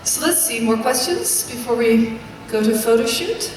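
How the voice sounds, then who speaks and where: A press conference moderator, brisk and polite, calling on questions. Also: mid-range voice, lively intonation. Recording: echoey hall, far from the mic.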